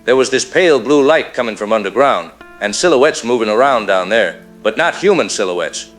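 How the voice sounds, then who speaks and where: A man's voice: mid-range voice, animated, moderate pace, soft background music, lightly acted. A man playing a doomed, haunted power-line worker calling in to a radio drama, grim in manner. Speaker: caller. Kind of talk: radio drama phone-in account.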